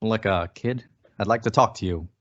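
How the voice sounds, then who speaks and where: A folksy former police chief from an old radio thriller, acted by a man speaks in a fairly low voice. He coaxes and insinuates, always persuading. The audio is slightly dull and lo-fi. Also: moderate pace, lightly acted. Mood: probing. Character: folksy, coaxing male former small-town police chief. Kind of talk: old-time radio suspense acting.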